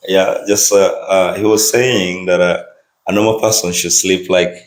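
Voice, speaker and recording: A man's voice: deep voice, level tone, normal speed. A man from Uganda hosting an interview, talking in a friendly, informal way with many fillers. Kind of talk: casual interview chat. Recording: echoey room.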